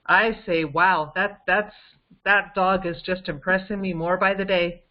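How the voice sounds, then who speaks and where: A woman's voice: lowish voice, steady tone, a little slow, highs cut off. A woman on a conference call explaining details conversationally, with an emphatic, insistent manner. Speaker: guest on a case-discussion call.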